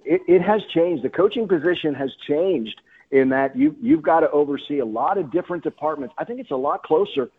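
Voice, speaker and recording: A man with a fairly high, rough-edged voice gives emphatic sports talk as a radio guest. He circles back and rephrases his points as he goes. The sound is a little dull and lo-fi. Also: animated, a little fast. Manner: emphatic, repeats and rephrases himself.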